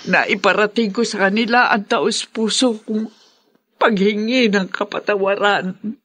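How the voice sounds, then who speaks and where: A woman's voice: mid-range voice, steady tone, unhurried, a bit bright. An actress with a Filipino accent playing a remorseful, ailing older mother who pleads emotionally in a radio drama. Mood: earnest, determined.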